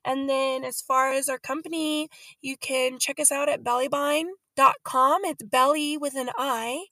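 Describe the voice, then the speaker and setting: An upbeat woman guest talks earnestly and a little promotionally about her mission and her book. Her voice is high, and the sound is dry and close. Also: a bit bright, dry recording. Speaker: podcast guest, company founder and author. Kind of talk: casual interview.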